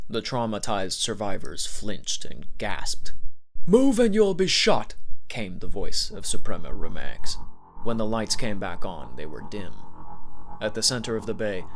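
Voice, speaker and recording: A man narrating an audiobook in a measured, steady storytelling manner. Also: low-pitched, gravelly, low-quality recording.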